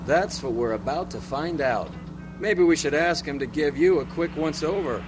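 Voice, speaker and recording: An actor playing a sardonic, needling police detective partner in a video game. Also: male voice, a little high, animated, normal speed, music in the background, close to an everyday voice. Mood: sardonic, teasing. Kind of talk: video game dramatic acting. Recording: clear car and engine hum. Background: car noise.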